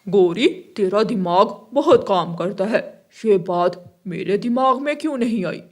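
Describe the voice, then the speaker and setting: A woman's voice: mid-range voice, animated, unhurried. A woman voicing a sly crow husband in a Hindi cartoon story, talking in a gossipy, matter-of-fact way. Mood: admiring. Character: sly male crow husband, thieving, slow-witted.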